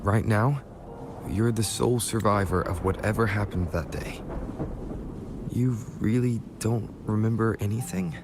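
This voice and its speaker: An actor playing a calm, level-headed adventurer in a video game, with a composed, serious and reassuring delivery. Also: male voice, lowish voice, a little slow, noisy background, close to an everyday voice. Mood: probing. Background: loud game music.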